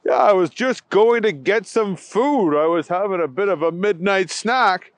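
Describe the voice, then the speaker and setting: A man's voice: high voice, measured pace, dry recording, pitched above the performer's own voice. A man doing a jolly, teasing old grandpa. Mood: easygoing. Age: older.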